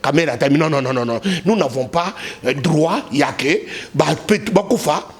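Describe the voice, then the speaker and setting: A man's voice: a little high, normal speed. A man with a Congolese accent arguing politics in a heated debate, emphatically repeating his phrases. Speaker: political commentator being interviewed. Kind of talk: heated political debate, mixing two languages.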